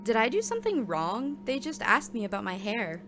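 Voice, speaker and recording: A woman's voice: fairly high pitch, big pitch swings, normal speed. A woman playing a weary, downtrodden coffee shop worker in a scripted audio drama. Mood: anxious, protesting. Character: weary, downtrodden barista narrating their own workday in second person. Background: music.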